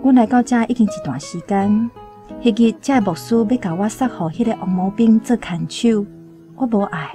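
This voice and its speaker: A woman's voice: medium pitch, moderate pace, warm tone, soft background music, natural voice. A woman with a Taiwanese accent playing a sorrowful young chieftain's daughter in a radio drama. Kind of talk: first-person radio drama monologue.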